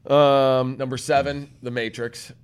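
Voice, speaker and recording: A man's voice: mid-range voice, flat delivery, unhurried, clear, resonant tone. A man co-hosting a podcast in casual banter, with a jokey, informal manner and some swearing. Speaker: podcast co-host.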